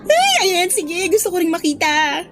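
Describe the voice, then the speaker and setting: An actress playing a gushing, easily thrilled young college friend in a Filipino radio drama, with a Filipino accent. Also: female voice, extremely high pitch, sing-song, moderate pace, music in the background, heavily acted. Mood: excited. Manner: gushing, exclamatory.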